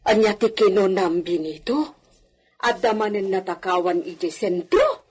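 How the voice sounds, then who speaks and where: A woman plays an outspoken, worried servant in a radio drama. Her husky, medium-pitched voice is fretful and complaining. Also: big pitch swings, measured pace, a little mellow. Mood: worried, critical. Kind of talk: Ilocano radio drama acting.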